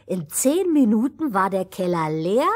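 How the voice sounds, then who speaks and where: A woman tells a fairy tale for children as its storyteller. Her pitch is middling and her delivery very unhurried. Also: big pitch swings, darkish tone.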